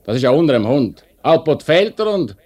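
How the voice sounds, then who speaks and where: An actor playing a hard, impatient factory manager with a curt manner and a Swiss German accent, in a 1950s radio play. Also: male voice, fairly high pitch, sing-song, fairly quick, a little mellow. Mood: disdainful. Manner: curt, brusque.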